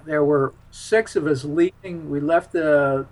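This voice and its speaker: An American man in his seventies reminiscing casually as an interview guest, in a rambling, halting way. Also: fairly high pitch, a little mellow, poor audio. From Philadelphia, United States. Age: 70s. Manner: rambling, halting, chuckles while talking. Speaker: interview guest, UFO witness.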